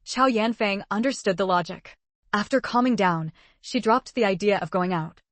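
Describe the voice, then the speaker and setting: A woman narrating a translated web novel in an even, measured storytelling voice. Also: mid-range voice, dry recording. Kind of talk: scripted audiobook narration.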